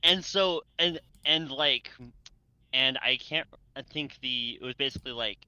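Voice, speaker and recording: A man chatting casually and excitedly, rambling and stammering as he goes and often breaking into laughter. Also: medium pitch, unhurried, clear, resonant tone, dry recording, muffled recording. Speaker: co-host of a fan fiction readalong. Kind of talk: casual enthusiastic group chat.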